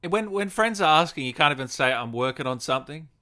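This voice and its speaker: A man's voice: on the high side, expressive, fast talker, pushed and bright. A man hosting a casual livestream interview, informal and reactive as he puts questions to his guest. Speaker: interview host, gaming streamer.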